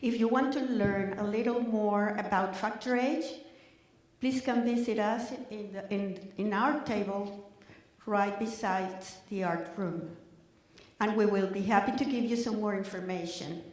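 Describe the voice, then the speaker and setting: A woman's voice: mid-range voice, a little slow. An older woman facilitating a panel, speaking carefully to an audience with small restarts. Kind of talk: presenting to a live audience. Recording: very echoey conference hall.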